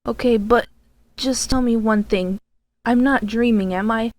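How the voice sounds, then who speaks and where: A woman's voice: a little high, steady tone, measured pace, a little mellow, close to an everyday voice. A woman playing a sheltered, emotional daughter in an amateur superhero audio drama. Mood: shocked, pleading.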